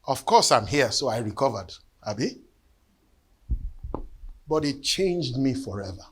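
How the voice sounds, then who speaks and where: A man, preaching a sermon and telling a personal testimony in an earnest storytelling way that often sinks to hushed tones. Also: mid-range voice, sing-song, unhurried, husky. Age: 50s. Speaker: bishop.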